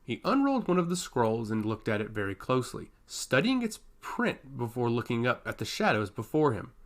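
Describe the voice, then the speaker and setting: Expressive man narrating a fantasy story. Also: medium pitch, fairly quick.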